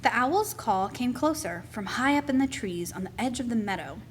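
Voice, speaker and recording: A woman reading a children's picture book aloud as expressive, deliberate storytelling. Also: a little high, a little hiss or hum.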